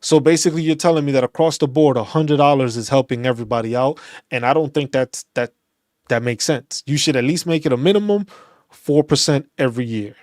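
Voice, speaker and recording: A man, a veterans' channel host, speaking in a casual, animated rant, often restarting and repeating phrases. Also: mid-range voice, fairly quick, dry recording, clean studio sound. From New York City. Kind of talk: casual opinion talk.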